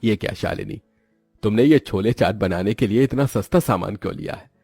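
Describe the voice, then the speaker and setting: A man's voice: lowish voice, fairly quick, gravelly, warm tone, slightly rough, soft background music. A man voicing an upright, worried husband and father who scolds earnestly in a cartoon story. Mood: alarmed, reproachful. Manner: earnest, reproachful.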